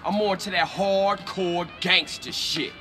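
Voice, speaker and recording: A man's voice: high-pitched, steady tone, slow, pushed and bright, faint music behind, full character voice. A man playing a young, streetwise American gangster in a video game, slangy and offhand, loyal but wry and impatient. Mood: wry, reluctant. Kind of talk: video game cutscene acting.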